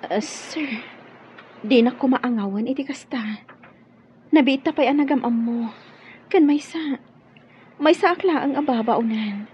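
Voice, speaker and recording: A woman's voice: high voice, a little slow, pauses often, darkish tone, lo-fi sound, a little hiss or hum. An actress with an Ilocano accent playing a modest, guarded young servant girl in a radio drama. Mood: timid, pleading.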